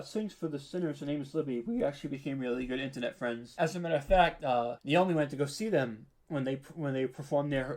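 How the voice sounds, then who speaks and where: A man's voice: fairly high pitch, a little mellow. An American man from New York giving a casual interview as a podcast creator, chatty, informal and self-deprecating. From New York, United States.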